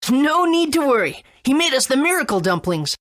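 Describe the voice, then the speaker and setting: A woman's voice: high voice, sing-song, normal speed, fairly bright tone. A woman voicing a kind, earnest young boy hero in a video game, with a reassuring manner. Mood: cheerful, polite.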